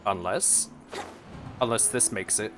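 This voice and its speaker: A man giving casual, expressive gameplay commentary, talking to himself playfully as he plays. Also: fairly low pitch, slow, background noise. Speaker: gaming streamer. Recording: game music behind voice. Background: video game music.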